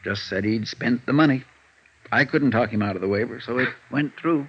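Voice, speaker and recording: An actor playing a resigned, plain-spoken American lawyer in a 1950s radio drama, terse and matter-of-fact. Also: male voice, medium pitch, normal speed, breathy edge. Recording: muffled vintage radio recording.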